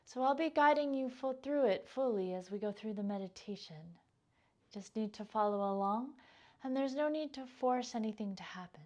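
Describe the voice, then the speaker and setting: Gently guiding woman meditation teacher. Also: a little high, dull audio. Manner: gentle, unhurried, instructional, phrases trailing off softly. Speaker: yoga nidra meditation teacher. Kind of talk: guided meditation.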